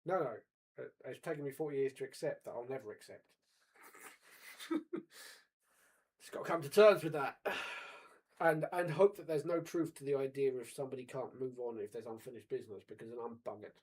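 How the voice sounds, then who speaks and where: A man of forty co-hosting a casual chat, wry and pedantic, quick to argue a point. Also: mid-range voice, a little mellow, slightly rough. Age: 40s. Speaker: podcast co-host.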